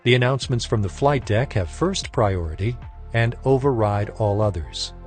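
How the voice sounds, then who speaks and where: A man's voice: fairly low pitch, lively intonation. Formal male training narrator over music. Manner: formal, measured, instructional. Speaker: instructor narrator. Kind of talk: scripted technical training narration.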